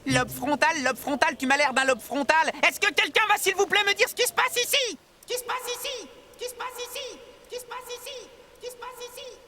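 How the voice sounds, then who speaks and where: A woman's voice: very high-pitched, fairly quick, sharp tone. A woman voicing a bold, carefree cartoon creature in a French dub, with a teasing, breezy, exclamatory manner. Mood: impatient. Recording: music behind the voice.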